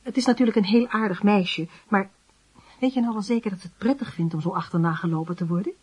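An actress as a husky, chiding mother. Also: medium pitch, quick pace, close to an everyday voice. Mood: gently chiding. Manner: gently admonishing, reasoning. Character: gently chiding mother of a lovestruck schoolboy. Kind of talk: radio drama acting.

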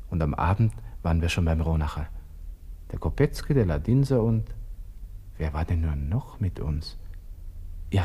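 An actor playing a proud, agitated young army lieutenant with a Viennese accent, talking to himself in a radio drama. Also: male voice, sits low, mellow. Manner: brooding, talking to himself in clipped bursts. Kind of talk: radio drama interior monologue.

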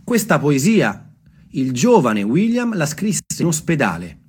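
A man telling stories and reading texts aloud as a theatre performer, expressive and deliberate in his delivery. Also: fairly high pitch, breathy edge. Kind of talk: storytelling with dramatic readings. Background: music.

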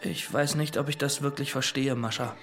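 A man's voice: medium pitch, steady tone, moderate pace, fairly bright tone, soft background music, lightly acted. A man playing a socially awkward young maths student, hesitant and nervous, in a naturalistic radio drama. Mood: unsure. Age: young adult.

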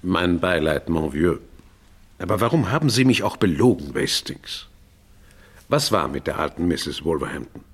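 Courteous eccentric detective, lowish voice, very animated intonation, probing, radio mystery. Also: male voice, moderate pace, slight background noise. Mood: grave, probing. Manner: theatrical, sprinkles speech with French phrases. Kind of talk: radio play acting.

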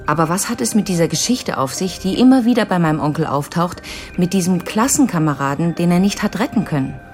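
A woman's voice: fairly low pitch, lively intonation, fast, faint music behind. An actress playing an earnest, insistent woman seeking answers about a relative in a naturalistic radio drama. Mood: troubled, insistent.

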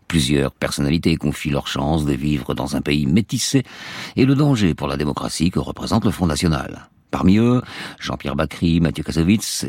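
Very deep-voiced male documentary narrator. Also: a little mellow, breathy edge, dry recording. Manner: measured, storytelling delivery. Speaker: radio documentary host. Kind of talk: scripted radio documentary narration.

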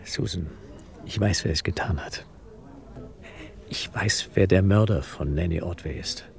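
Casting: a determined murder suspect turned investigator, radio drama, gravelly low voice, terse and probing. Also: male voice, unhurried, a little mellow, whispered. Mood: urgent, resolved. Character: determined, controlled man wrongly suspected of murder, investigating himself. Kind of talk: German radio drama acting.

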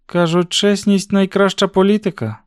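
A spirited young country girl from a storybook, acted out by a male narrator, pitched well up. She is curious and quick to ask, with a guarded, tart edge. The recording is close and dry. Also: darkish tone, no echo, lightly acted. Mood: wary, tart.

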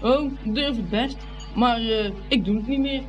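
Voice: mid-range voice, normal speed, muffled recording, soft background music, close to an everyday voice. An actor playing a plucky, indignant young schoolboy at a fair, exclaiming and muttering in a radio play. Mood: cheeky, eager. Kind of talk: Dutch radio play acting.

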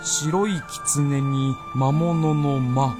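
An actor playing an earnest, polite, determined young peasant youth, sincere and respectful, in a period radio drama. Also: male voice, medium pitch, fairly even intonation, very slow, music in the background. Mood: earnest gratitude. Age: young adult.

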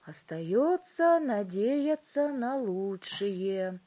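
A woman voicing an excitable, theatrical male inventor in a children's story, speaking in emphatic, drawn-out phrases. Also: medium pitch. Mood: soothing.

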